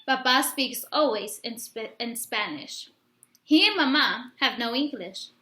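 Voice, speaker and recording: A woman reading a picture book aloud in an expressive, instructive way, like a teacher addressing young listeners. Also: fairly high pitch, unhurried. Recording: roomy, echoey room sound.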